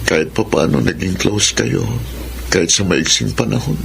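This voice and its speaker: A Filipino man acting a middle-aged, remorseful father in a radio drama, with heavy expressive delivery. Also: low voice, normal speed. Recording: clear background noise and ambience.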